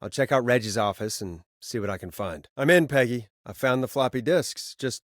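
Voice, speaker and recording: A man's voice: mid-range voice, normal speed, no echo, clean studio sound, lightly acted. A man playing an earnest radio host in a video game, conversational and naturalistic, guiding people through emergencies. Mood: businesslike.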